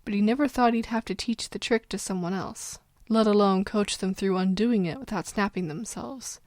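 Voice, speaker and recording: A woman's voice: medium pitch, moderate pace, a little mellow, dry recording, studio quality. A woman reading a story as dramatic narration, getting over a cold. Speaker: fan fiction narrator.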